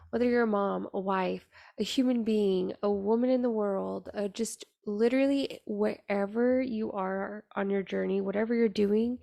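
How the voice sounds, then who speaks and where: A young woman hosting her podcast, talking in a raspy voice with an earnest, chatty manner full of fillers. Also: mid-range voice, mellow, dry recording, studio quality. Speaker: podcast host. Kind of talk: casual solo podcast monologue.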